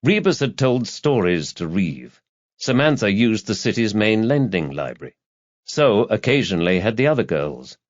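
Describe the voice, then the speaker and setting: A man's voice: lowish voice, big pitch swings, normal speed, no echo. A man narrating a crime thriller audiobook in a scripted, dramatic storytelling style. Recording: slightly dull, lo-fi audio.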